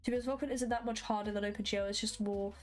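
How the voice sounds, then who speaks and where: A woman's voice: mid-range voice, steady tone, quick pace, faint music behind. A woman whose first language is not English, casually chatting with viewers while live coding and thinking aloud with many fillers. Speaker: livestreamer coding a graphics engine.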